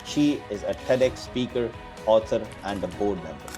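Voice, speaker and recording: A man's voice: on the low side, moderate pace, music in the background. A man hosting a podcast interview, chatting loosely with fillers and restarts. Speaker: podcast host. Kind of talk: casual interview chat.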